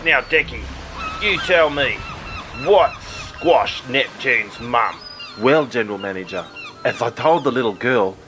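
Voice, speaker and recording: A man's voice: medium pitch, pushed and bright, slight background noise. A man performing several characters in a comic radio serial.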